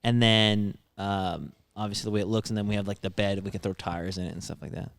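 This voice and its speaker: Man, podcast co-host, hesitant, lowish clear voice, dry sound. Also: steady tone, dry recording. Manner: halting, many fillers and restarts. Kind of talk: casual podcast chat.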